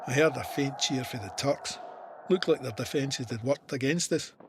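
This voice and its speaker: An actor with a Scottish accent playing a soldier who narrates his war memoir in a measured, vivid, plain-spoken way. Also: mid-range voice, a little hiss or hum, close to an everyday voice. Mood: steady, intent. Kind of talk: scripted first-person war memoir narration.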